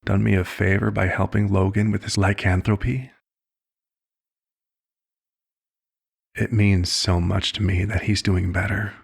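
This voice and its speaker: Man playing a gravelly, low-voiced, compassionate vampire doctor. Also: level tone, full character voice. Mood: earnest, soothing. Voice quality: husky, gravelly. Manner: measured, slightly formal, old-fashioned phrasing. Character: old, compassionate male vampire doctor, gently paternal and measured. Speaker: ASMR roleplay performer. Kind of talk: ASMR roleplay monologue.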